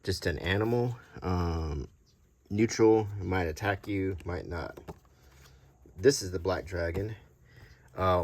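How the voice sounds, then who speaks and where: A man hosting an unscripted, ASMR-like show-and-tell in a halting, casual way. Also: low voice, a little mellow. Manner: halting, unscripted, hesitant delivery. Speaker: host.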